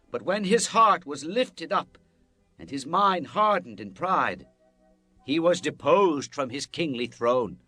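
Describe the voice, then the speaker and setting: An actor playing a stern, fearless Hebrew prophet in a dramatized Bible, solemn and declamatory. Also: male voice, fairly high pitch, a bit bright, heavily acted.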